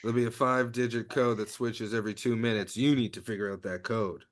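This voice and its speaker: A man reads an earnest heist crew planner in a comedy script. The voice is natural and medium in pitch, as in a table read. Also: fairly even intonation, fast, close to an everyday voice. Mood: urgent, earnest.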